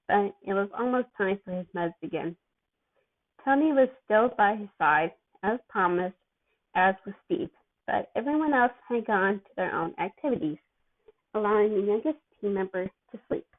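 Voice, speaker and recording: A woman giving an expressive amateur reading of a story as its narrator. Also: mid-range voice, moderate pace. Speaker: amateur fan fiction reader. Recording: thin phone-like sound.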